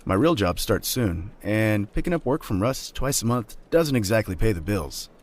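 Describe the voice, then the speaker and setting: A man's voice: lowish voice, sing-song, normal speed. A man playing a laid-back, chummy young friend in an audio drama. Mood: easygoing, chummy. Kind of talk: audio fiction acting.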